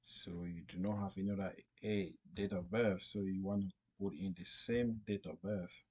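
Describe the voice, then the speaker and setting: A man narrating an unscripted step-by-step screen tutorial in a halting, repetitive way. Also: low-pitched, steady tone, a little mellow, slightly rough. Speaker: tutorial presenter.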